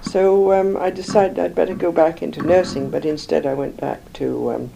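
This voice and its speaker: An older woman from England, slowly recalling her life in an interview, rambling with many pauses and fillers. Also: fairly low pitch, fairly even intonation, creaky, background noise. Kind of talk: oral history recollection.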